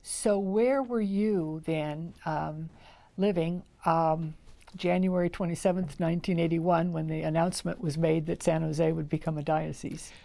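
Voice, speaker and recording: A woman hosts an oral history interview, asking clear questions and filling in with steady mm-hmms. Her low, mellow voice has a gravelly edge. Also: measured pace. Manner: warm, encouraging, frequent affirmations. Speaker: interviewer, host.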